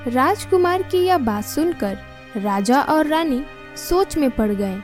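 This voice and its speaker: A woman's voice: high voice, expressive, takes it slowly, darkish tone. A woman reading scripted storybook narration as the narrator. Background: music.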